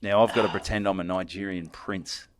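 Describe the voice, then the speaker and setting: Rambling Australian man, low voice. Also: steady tone, fairly quick. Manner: rambling storyteller, swears freely. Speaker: podcast co-host. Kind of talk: crude casual banter.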